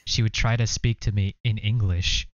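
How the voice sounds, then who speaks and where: A young man co-hosting a casual reaction chat, explaining things in a relaxed way with lots of fillers. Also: fairly low pitch, normal speed, no echo, lo-fi sound. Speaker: co-host.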